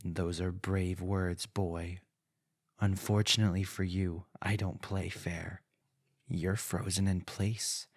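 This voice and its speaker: Very deep male villain, sinister vampire lord, slow, pompous, taunting, theatrical fantasy audio roleplay. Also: no echo, studio quality, full character voice. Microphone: close, dry studio-style mic.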